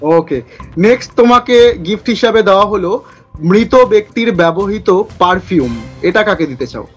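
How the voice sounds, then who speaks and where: A man hosts a playful quick-fire interview. His voice sits high for a man, and music plays behind him as he chats and puts his questions. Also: lively intonation, slightly rough, dull audio.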